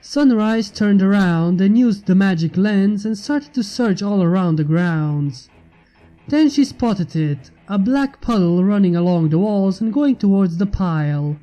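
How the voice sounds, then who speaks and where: A man's voice: high-pitched, expressive, measured pace, mellow, lo-fi sound. A man reading a fantasy story aloud as narrator, with music behind him. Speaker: fan fiction reader. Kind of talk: scripted story narration with character voices. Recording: music under the voice. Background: background music.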